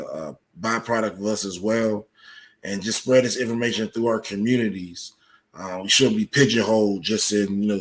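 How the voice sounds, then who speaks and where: A man speaking as a podcast guest, explaining at length in a halting, deliberate way with many filled pauses. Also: lowish voice, fairly bright tone. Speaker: podcast guest, soccer coach. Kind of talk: conversational interview, explaining at length.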